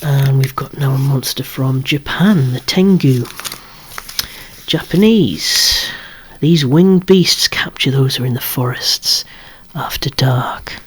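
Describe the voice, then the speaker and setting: A softly whispering collector presenting a toy collection ASMR-style, in a rambling, chatty way with lightly wry asides. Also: medium pitch, takes it slowly, slight background noise. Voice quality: breathy, hushed whisper.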